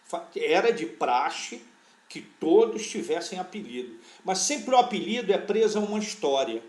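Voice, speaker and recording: An older man with a fairly high-pitched voice recalls his past as an interview guest. He speaks in a relaxed, wandering way and often stops to restart his sentences. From born in Portugal, raised in Brazil. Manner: unhurried, rambling recollection with frequent restarts. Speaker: veterinary parasitology professor, interview guest. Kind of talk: relaxed conversational storytelling.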